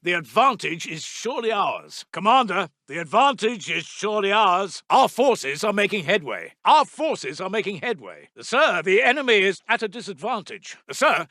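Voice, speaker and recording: A man's voice: high voice, sing-song, normal speed, hardly any pauses, bright, forceful tone, no echo, studio quality, full character voice. A man playing a formal British army intelligence officer in a video game, giving clipped, emphatic reports to his commander with a British accent. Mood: confident. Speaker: military intelligence announcer. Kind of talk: scripted video game unit announcements.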